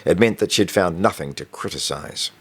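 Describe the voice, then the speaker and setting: A man's voice: low-pitched, normal speed, husky. A man reading his own novel as scripted audiobook narration, telling a first-person story in a conversational way.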